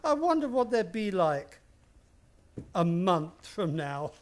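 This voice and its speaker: An actor playing a miserly, menacing older stepfather in a suspense radio play, speaking with deliberate mockery. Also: sits high, big pitch swings, full character voice. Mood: mocking, gloating. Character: sinister, miserly, taunting wealthy stepfather, older man.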